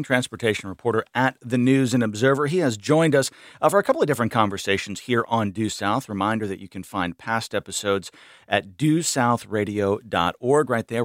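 A man hosting a public radio news roundup from a studio, relaxed with joking asides. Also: fairly low pitch, no room sound. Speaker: radio show host. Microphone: studio microphone.

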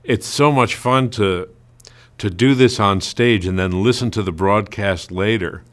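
A man's voice: fairly low pitch, measured pace. An older man, a local theater actor, recalling his experiences in a casual interview.

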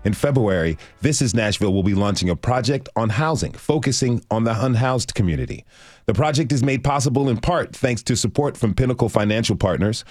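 A man's voice: medium pitch, expressive, fairly quick, darkish tone, no room sound, clean studio sound. A man hosting a public radio show, presenting and interviewing guests.

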